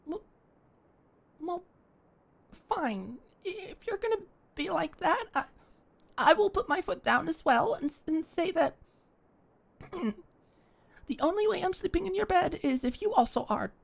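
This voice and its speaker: Shy, stammering young woman, gravelly edge. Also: extremely high pitch, animated, a little slow, pauses often. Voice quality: soft whispering at times. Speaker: ASMR roleplay performer. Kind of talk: ASMR roleplay, one-sided dialogue.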